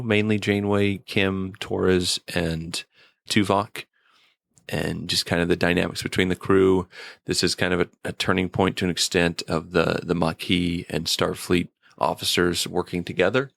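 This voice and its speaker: A man with a deep voice and heavy vocal fry gives a casual review as a podcast guest. His manner is measured and understated, and the recording is dry. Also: fairly bright tone, no echo. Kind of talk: casual episode review discussion.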